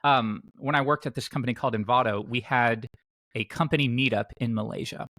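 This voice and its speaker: A man's voice: mid-range voice, fairly quick, fairly bright tone, no echo. A man from Tennessee talking casually as a podcast host. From Tennessee, United States.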